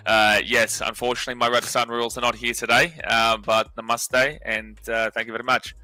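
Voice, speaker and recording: Bright-voiced joking man in his twenties. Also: medium pitch, little pitch movement, fairly quick, dry recording, low-quality recording. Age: 20s. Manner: joking, teasing, laughs often. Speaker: co-host of a sports watch party. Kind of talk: casual banter stream.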